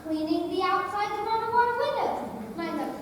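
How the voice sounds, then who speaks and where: A young woman playing a devoted, adoring male lab assistant in a high school stage comedy. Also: brisk. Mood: eager, adoring. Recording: far-off echoey hall recording, faint noise.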